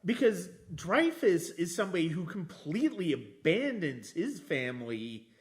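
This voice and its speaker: A man in a casual film discussion as a podcast host, speaking haltingly and often circling back to rephrase. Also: sits high, sing-song.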